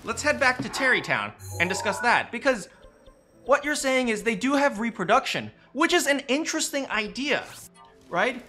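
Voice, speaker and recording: A man talks in a loose, rambling way, circling back on himself and tagging lines with questions. His voice sits high and swings widely in pitch. It sounds bright over faint background music. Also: sing-song, normal speed. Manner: rambling, frequent restarts and "right?" tag questions. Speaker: streamer discussing game lore with chat. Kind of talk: casual rambling chat.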